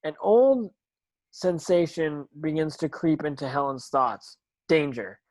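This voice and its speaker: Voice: mid-range voice, animated, takes it slowly, darkish tone, no room sound, poor audio. Dry-sounding narrator reading stage directions. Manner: stumbles and repeats words while reading. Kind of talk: scripted narration in an online table read.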